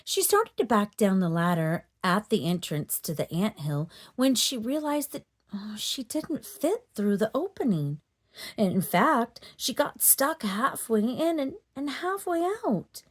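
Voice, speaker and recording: A woman storyteller narrating a children's book in an expressive, dramatic read-aloud manner. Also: a little high, a little slow. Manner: dramatic, animated delivery for children.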